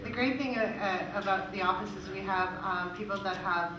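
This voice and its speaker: A woman's voice: medium pitch, brisk. A woman giving conversational explanations as a presenter taking questions. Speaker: music royalty organisation manager presenting. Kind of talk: informal question and answer at a presentation. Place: meeting room in front of an audience. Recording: echoey room, distant mic, clear background noise.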